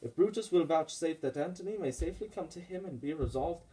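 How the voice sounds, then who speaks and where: A man's voice: a little high, lively intonation, fast talker, mellow, slight background noise, natural voice. Man playing dutiful Roman messenger. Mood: deferential. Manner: formal, deferential. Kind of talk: puppet-show Shakespeare, theatrical verse.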